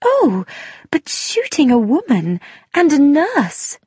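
Woman narrator as genteel woman, thin voice, high pitch, opinionated, audiobook dialogue. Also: huge pitch swings, slow pace, warm tone, dry recording, studio quality, exaggerated voice. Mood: shocked.

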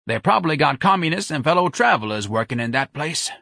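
A man voicing a mild, suspicious, old-fashioned gentleman in an audiobook, speaking slowly and earnestly. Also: on the high side, sing-song, gravelly, breathy edge, dry recording, studio quality, pitched above the performer's own voice, exaggerated voice. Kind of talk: audiobook character dialogue.

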